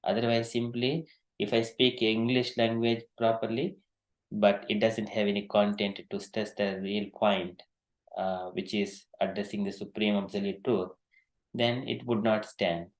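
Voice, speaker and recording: A man with Telugu as his leads a devotional verse class in a gentle, encouraging way. His voice sits a little low and carries a clear echo of the room. He often pauses with fillers as he explains. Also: little pitch movement. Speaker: class leader.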